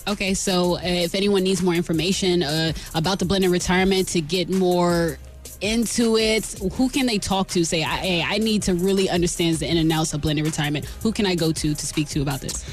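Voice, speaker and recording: A woman's voice: lowish voice, brisk. A woman hosting a morning radio show in an upbeat, chatty, playful way. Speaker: radio host and DJ. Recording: music behind the voice. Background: music.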